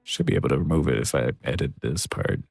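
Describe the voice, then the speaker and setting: A man mixing music on a livestream, thinking aloud in a soft, relaxed, casual way. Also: very deep voice, fast talker, creaky, breathy edge. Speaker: music producer streaming a mixing session. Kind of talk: casual livestream commentary while working. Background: faint music from the mix being worked on.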